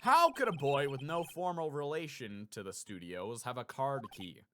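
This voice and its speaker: A man's voice: mid-range voice, animated, normal speed, a bit bright, a little hiss or hum, natural voice. A man playing a stern but bemused older judge in a video game, speaking in formal pronouncements.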